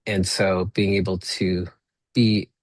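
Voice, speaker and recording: Male podcast host from Peru, Spanish first language, lowish voice, dry sound, reflective and halting. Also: level tone, no echo. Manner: reflective, paraphrases back, frequent restarts. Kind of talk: conversational interview.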